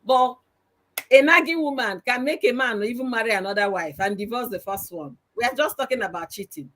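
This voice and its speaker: A woman's voice: a little high, fairly quick, fairly bright tone. A woman with a Nigerian accent hosting a casual panel chat, talking in a preachy way and checking again and again that listeners understand. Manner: preachy, asks "you understand?" often. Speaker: host.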